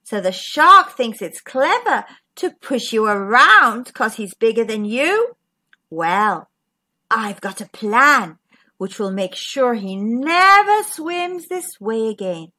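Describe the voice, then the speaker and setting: Woman playing a clever, bright-voiced squid. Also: a little high, very animated, slow, pitched below the performer's own voice, heavily acted. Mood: scheming. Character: calm, clever squid with a plan, helpful. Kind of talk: children's storybook character voice.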